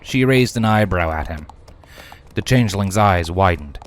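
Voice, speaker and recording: Male storyteller, low pitch, solemn, deliberate, scripted dramatic narration. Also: animated.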